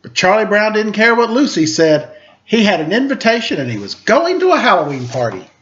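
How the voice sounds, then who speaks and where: Animated male storyteller, fairly high voice. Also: fairly quick. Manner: playful, expressive. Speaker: storyteller reading to children. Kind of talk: animated children's story reading with casual asides. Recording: slightly dull, lo-fi audio.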